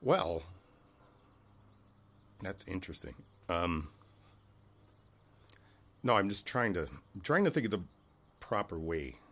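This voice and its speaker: A man playing an easygoing, friendly older neighbor in a conversational ASMR roleplay, speaking thoughtfully with hesitant pauses. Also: low voice, a bit bright, heavily acted. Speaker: voice actor in an audio roleplay. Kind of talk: ASMR roleplay, one side of a casual conversation. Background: outdoor ambience with birds.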